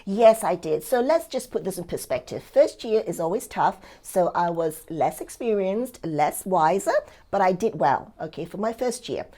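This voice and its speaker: Upbeat, lower-pitched woman giving a testimonial. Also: animated, normal speed, mellow. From Singapore, later lived in Australia. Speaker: real estate affiliate and coach giving a testimonial. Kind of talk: conversational testimonial interview.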